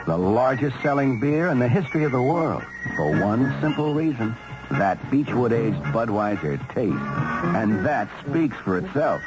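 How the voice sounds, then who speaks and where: Loud music sits behind a male commercial announcer on a dull old radio recording. He is assured and emphatic, with animated pitch in a seventies ad style. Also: moderate pace. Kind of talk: 1970s radio ad read.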